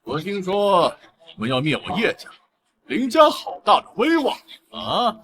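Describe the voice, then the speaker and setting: A man's voice: high voice, huge pitch swings, unhurried, fairly bright tone, exaggerated voice. A man acting a brash, swaggering middle-aged patriarch in a melodrama, coarse and taunting. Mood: scornful. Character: brash, swaggering rich family patriarch, protective father. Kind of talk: melodramatic short-drama acting.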